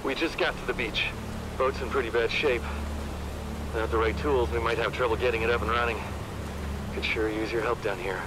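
A man's voice: medium pitch, fairly even intonation, fairly quick. Friendly crewman on a noisy radio. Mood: casual, concerned. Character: friendly male tech crewmate calling in by radio. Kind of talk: casual game dialogue.

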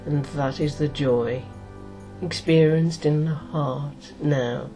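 A middle-aged woman hypnotist leading a guided meditation in a soothing, deliberate way, pausing between short phrases. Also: low voice, steady tone, over music.

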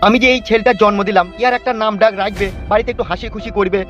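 A man doing a high, rapid, blustering cartoon fellow. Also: steady tone, fairly bright tone. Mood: exasperated. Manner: complaining, boastful, swearing. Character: exasperated, blustering, foul-mouthed man, harried father. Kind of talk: comedy cartoon dialogue. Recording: muffled audio with faint music.

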